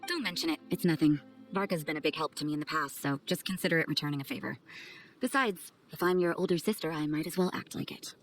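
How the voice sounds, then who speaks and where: A woman's voice: low voice, quick pace, soft background music. A woman playing a cool, aloof, blunt woman with hidden kindness in a video game, speaking with dry detachment. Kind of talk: video game character acting.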